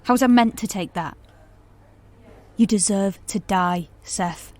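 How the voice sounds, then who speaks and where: A woman's voice: mid-range voice, measured pace, darkish tone, close to an everyday voice. A woman acting in an audio drama as a cold, reproachful ex-partner with something menacing about her. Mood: bitter, accusing. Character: bitter, accusing ex-partner, a menacing apparition.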